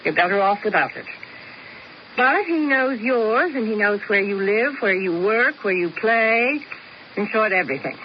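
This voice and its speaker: An actress playing a cool, commanding schemer who narrates in a deliberate, theatrical 1970s radio drama style. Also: female voice, mid-range voice, animated, close to an everyday voice. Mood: coolly authoritative. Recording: dull, noisy 1970s radio recording.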